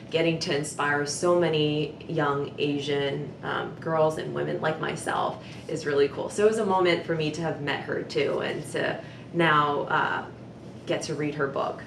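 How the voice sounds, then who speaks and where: A young woman with a notably low voice shares personal stories in an earnest, reflective tone. Her words are loosened by frequent "like" and "um." The sound is roomy and echoey, with a low rumble running behind her. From United States. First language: Vietnamese. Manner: earnest and reflective, many "like" and "um" fillers. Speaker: communications director. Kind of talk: personal storytelling to camera.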